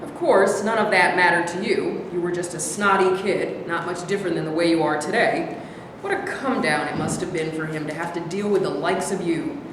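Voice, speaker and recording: A woman's voice: on the low side, brisk, lightly acted. A woman acting in a staged play reading as a scornful alter ego, blunt, sarcastic and belittling. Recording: echoey hall with background noise.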